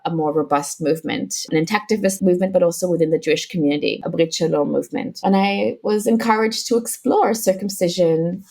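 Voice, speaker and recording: A woman sociologist from Israel, answering interview questions in a thoughtful, halting way, with frequent restarts. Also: fairly low pitch, vocal fry, a little mellow. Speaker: sociologist guest. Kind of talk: academic interview.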